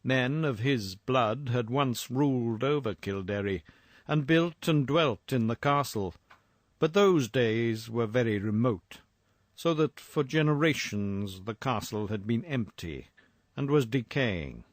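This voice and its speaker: A man reading a first-person horror story in a measured, formal, dramatic storytelling manner. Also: mid-range voice, lively intonation, no room sound, studio quality.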